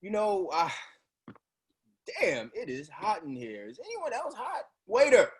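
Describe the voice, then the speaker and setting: A man's voice: high voice, wildly swooping pitch, unhurried, breathy edge, full character voice. A man acting a nervous, eager-to-please young son in a play reading, evasive and flustered.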